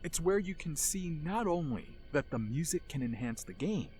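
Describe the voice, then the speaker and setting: A man narrating a scripted video essay as its host, with an expressive, dramatic storytelling delivery. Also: on the high side, moderate pace. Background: music under the voice.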